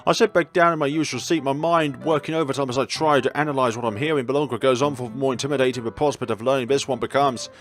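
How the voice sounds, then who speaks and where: A man's voice: mid-range voice, fairly even intonation, fast talker, hardly any pauses, soft background music. A man narrating a visual novel as a gaming host, in a casual let's-play style with asides of his own.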